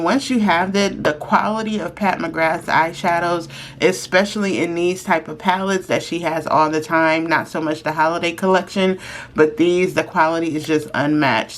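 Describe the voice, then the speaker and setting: A woman beauty vlogger, talking casually through a makeup review, sounding congested and chatty. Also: fairly low pitch. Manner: chatty, friendly, slows down while applying makeup.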